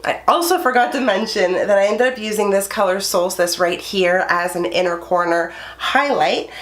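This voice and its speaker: A woman's voice: mid-range voice, fairly bright tone. A woman chatting eagerly through a makeup review in her bedroom, her voice nasal and congested from a cold. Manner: enthusiastic, chatty. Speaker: beauty YouTuber. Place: her bedroom under filming lights.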